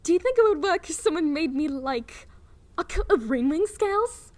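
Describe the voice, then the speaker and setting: A woman voicing a vain, bubbly, naive young dragon princess in an airy, girlish way for an animated fan dub. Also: very high voice, moderate pace. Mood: wide-eyed, admiring. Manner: airy, self-satisfied, girlish.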